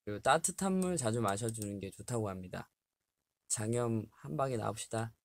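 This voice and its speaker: Low gravelly young man chatting. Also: animated, fairly quick. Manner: chatty, reads advice aloud, laughs often. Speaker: livestream host. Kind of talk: casual live chat with fans. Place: small bare room indoors. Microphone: webcam at desk distance.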